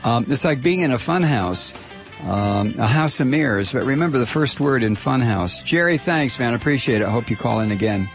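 A man's voice: medium pitch, lively intonation, brisk, faint music behind. A male call-in radio host who talks in a sardonic, rambling way, full of fillers and restarts. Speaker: radio talk show host. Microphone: studio microphone.

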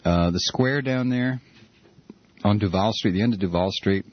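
A man's voice: lowish voice, moderate pace, lo-fi sound. A male talk radio host taking calls, joking and sarcastic, who also builds into repeated, heated rants. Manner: sarcastic, mocking, loose and joking. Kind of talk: political call-in radio. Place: radio studio.

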